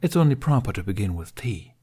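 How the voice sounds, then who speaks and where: A man's voice: on the low side, sing-song, a little fast, mellow, husky. A man voicing a composed, cryptic man in an audiobook, speaking with formal, understated dry politeness. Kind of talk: audiobook character voice.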